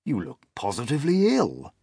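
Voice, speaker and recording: A man's voice: mid-range voice, wildly swooping pitch, moderate pace, creaky, dark tone, breathy edge, no room sound, clean studio sound, pitched above the performer's own voice, full character voice. Old storybook grasshopper, courteous and fussy man performing. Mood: concerned.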